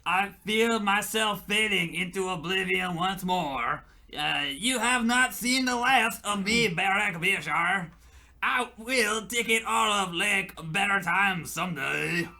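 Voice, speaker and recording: Sharp, bright pompous villain played by a man. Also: high-pitched, gravelly, heavily acted. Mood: defeated, vowing revenge. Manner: pompous, formal, halting. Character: theatrical, menacing male villain with grandiose threats. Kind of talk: comedy radio play acting.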